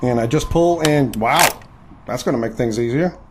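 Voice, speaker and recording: An actor playing a plainspoken, wary recruit to a secret mission in an audio drama, earnest and questioning. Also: male voice, mid-range voice, normal speed, noisy background, lightly acted. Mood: friendly, curious.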